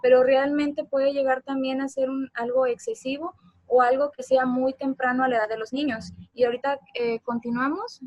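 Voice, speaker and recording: A woman with a high-pitched voice presents an informal online lecture. The sound is dry, with very little room echo. She explains step by step and keeps seeking agreement with brief tag questions. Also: steady tone, normal speed, lo-fi sound. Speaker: presenter of a parenting talk.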